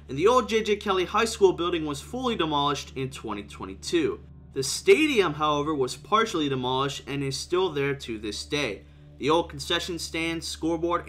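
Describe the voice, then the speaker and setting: A man's voice: on the high side, pushed and bright. A man from the southern Appalachian region of the US narrating a scripted documentary-style video as its host, his sentences often dropping at the end. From Tennessee or southwest Virginia region.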